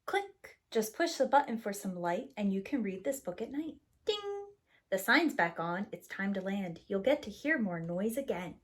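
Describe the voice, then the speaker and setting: A woman's voice: mid-range voice, lively intonation, normal speed. A woman reading a children's story aloud expressively, with a rhythmic delivery and acted-out sound words. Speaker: preschool teacher reading a picture book aloud.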